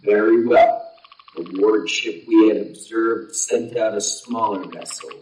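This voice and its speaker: A man playing a proud, restrained alien warrior envoy in an audio play, speaking in formal, measured, deliberate phrases. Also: lowish voice, darkish tone, lightly acted. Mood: formal, guarded. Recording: roomy echo, distant from mic.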